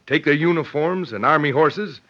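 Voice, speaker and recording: An actor playing a cunning, ruthless outlaw gang leader in a western radio drama, giving brisk, commanding orders. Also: male voice, on the high side, close to an everyday voice. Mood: scheming. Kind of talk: old-time western radio drama acting. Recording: muffled, noisy vintage radio recording.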